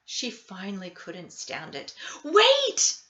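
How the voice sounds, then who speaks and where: A woman narrates a children's picture book in a bright voice with very animated pitch. She reads expressively and takes her time. The sound is a little dull and lo-fi. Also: slow pace. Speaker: storybook reader.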